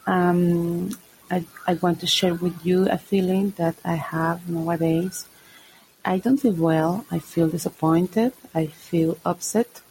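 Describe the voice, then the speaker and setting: Slow, husky-edged Mexican woman. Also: on the low side, warm tone, a little hiss or hum, poor audio. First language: Spanish. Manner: slow, hesitant, with many pauses. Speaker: listener leaving a voice message. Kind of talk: recorded voice message.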